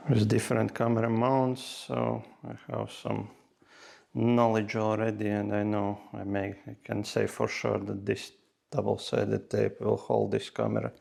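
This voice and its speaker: A man's voice: lowish voice, vocal fry, darkish tone. A man talking casually through a hands-on tutorial step by step, with non-native English phrasing. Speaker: host of a motorcycle gear how-to video.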